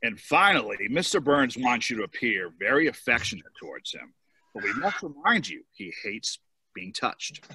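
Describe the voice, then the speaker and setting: A man playing a brisk, blunt political campaign advisor with a husky voice in an amateur cartoon table read. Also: medium pitch, very animated, a crowd in the background, natural voice, rough recording. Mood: instructing. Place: home room, on a video call.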